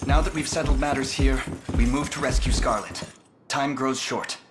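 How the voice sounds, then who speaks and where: Man as an earnest prince, medium-pitched voice. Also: moderate pace, very noisy, natural voice. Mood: resolute. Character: earnest, resolute young male prince and leader. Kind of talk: formal fantasy video game acting. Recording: game music clearly behind.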